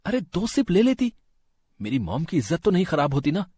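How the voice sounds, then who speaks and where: Man acting agitated husky young husband. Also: on the high side, wildly swooping pitch, brisk, dark tone, no echo, clean studio sound, pitched above the performer's own voice, full character voice. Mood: accusing. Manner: agitated, accusing. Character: angry young husband, blaming and exasperated.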